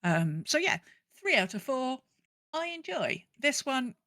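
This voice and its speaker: A woman's voice: sits high, big pitch swings, a little slow, pushed and bright, no echo, studio quality. A woman co-hosting a podcast in casual chat, conversational, repeating phrases for emphasis as she goes. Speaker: podcast co-host. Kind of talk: casual podcast chat about a video game.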